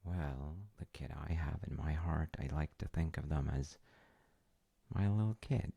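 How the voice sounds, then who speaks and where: A man playing a gentle, loving, wise dad in an intimate audio roleplay, speaking tenderly and hesitantly in short phrases with a gravelly, breathy voice. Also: very deep voice, a little slow, no echo, exaggerated voice. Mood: soft, earnest storytelling. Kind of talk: intimate audio roleplay, improvised-sounding storytelling.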